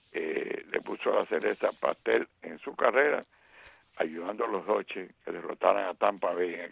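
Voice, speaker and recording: Gravelly man on tinny radio line. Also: low voice, no echo. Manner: animated, fills with "eh" and "¿ok?". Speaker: radio sports commentator. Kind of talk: baseball talk on radio.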